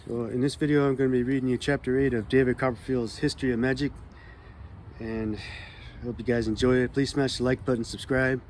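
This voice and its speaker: A man hosting his own channel, reading magic history from a book aloud in a calm, relaxed manner. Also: mid-range voice, a little fast, slight background noise. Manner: calm, relaxed delivery meant to soothe. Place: California.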